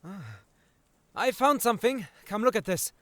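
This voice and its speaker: A man's voice: high-pitched, sing-song, normal speed, pushed and bright, no room sound, exaggerated voice. A man playing an earnest, studious researcher in a fantasy audio drama, with a hesitant, scholarly delivery. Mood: excited, hesitant.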